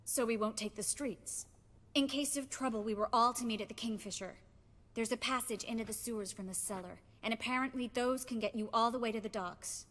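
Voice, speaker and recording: An actress playing an earnest young sorceress and weary resistance leader in a dramatic video game. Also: female voice, on the high side, a little fast, a bit bright, long reverb tail. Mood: urgent, worried.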